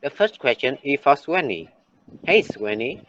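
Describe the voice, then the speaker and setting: A teenage boy with a Vietnamese accent hosting a class talk show. He reads a prepared script in a steady presenter tone. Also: medium pitch, measured pace, muffled recording, slight background noise. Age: late teens.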